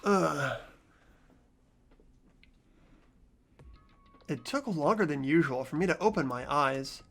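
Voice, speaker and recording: An anxious high school boy as a performer acts him out narrates a game mystery in an earnest, hesitant voice of middling pitch. The delivery is dramatic and expressive, over music. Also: normal speed. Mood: weary, uneasy. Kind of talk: scripted visual novel narration. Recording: background music behind voice.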